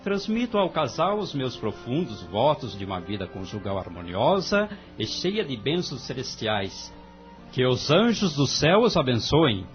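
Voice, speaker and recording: An actor playing a solemn, benevolent judge, speaking formally and ceremoniously in an audio drama. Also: male voice, mid-range voice, big pitch swings, moderate pace, natural voice. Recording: dull lo-fi audio, music underneath.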